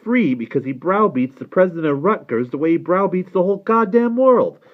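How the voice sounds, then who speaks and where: A man's voice: sits high, lively intonation, brisk, narrow, tinny audio, voice pushed up. A man voicing an exasperated, defensive middle-class father who argues insistently with pointed questions. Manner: argumentative, rhetorical questioning, insistent. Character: exasperated father, defensive, pleading with his nephew.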